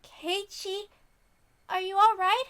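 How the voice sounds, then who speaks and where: Woman as a high-pitched, timid teenage girl. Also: little pitch movement, slow, heavily acted. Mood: worried. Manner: hesitant, soft-hearted, anxious.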